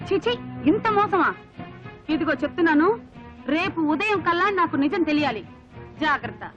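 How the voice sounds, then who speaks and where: A stern, scandalized woman in a comedy film. The voice is very high. The soundtrack is dull, with loud music. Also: lively intonation, moderate pace. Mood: outraged. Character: outraged, stern woman denouncing cheats. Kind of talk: Telugu comedy film acting.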